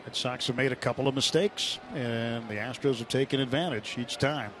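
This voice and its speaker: A man calling baseball play-by-play live on the radio in a measured, descriptive way. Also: medium pitch. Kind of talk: live sports commentary. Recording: clear stadium noise behind live broadcast. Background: outdoor ballpark ambience.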